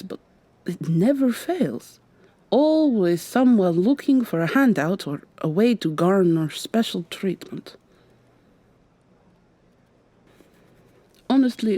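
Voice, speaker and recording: A woman's voice: medium pitch, dry recording. A woman playing a weary, sardonic vampire receptionist with a secret, acting in a scripted ASMR roleplay. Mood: wry, disdainful. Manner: polite customer-service patter, hushed urgent asides.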